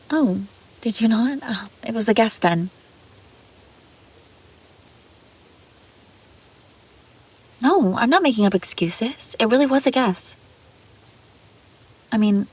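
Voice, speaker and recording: A woman's voice: medium pitch, husky. A woman playing an obsessive, scheming young babysitter in an intimate audio roleplay, pleading and defensive. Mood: flustered, defensive. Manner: pleading, defensive, hesitant then insistent. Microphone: close, dry microphone.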